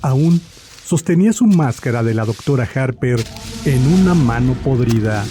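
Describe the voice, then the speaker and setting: A man's voice: mid-range voice, expressive, normal speed, background noise. A man narrating a scripted horror story as the storyteller. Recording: faint music behind the voice.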